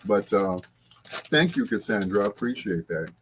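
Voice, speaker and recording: A man's voice: on the low side, animated, moderate pace, fairly bright tone, a little hiss or hum. A man hosting a casual livestream, talking to viewers in a rambling, conversational way. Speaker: livestream host.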